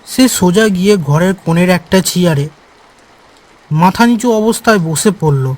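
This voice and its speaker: A man's voice: high voice, normal speed, darkish tone. A man narrating a scripted horror story for a cartoon.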